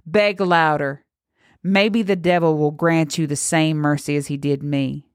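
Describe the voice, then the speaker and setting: A woman's voice: deep voice, steady tone, measured pace, clean tone, dry recording, clean studio sound. A woman voicing a vengeful, anguished young girl turned demonic monster in a horror story, scornful and theatrical. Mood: cold, menacing.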